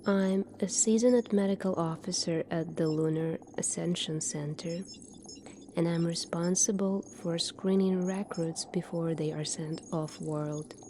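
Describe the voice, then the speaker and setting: A woman speaks very slowly in a soft ASMR style, with a mellow, slightly low voice. She plays a calm, formal medical officer in a sci-fi future, measured and clinical in her delivery. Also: a little hiss or hum. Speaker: ASMR roleplay performer. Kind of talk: soft-spoken ASMR roleplay.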